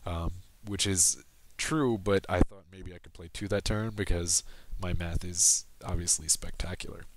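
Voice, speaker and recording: A man's voice: low-pitched, fairly even intonation, normal speed. A man commenting casually on his own recorded card game, explaining plays steadily with frequent ums and self-corrections, his voice a little worn. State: losing his voice. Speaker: gameplay commentator.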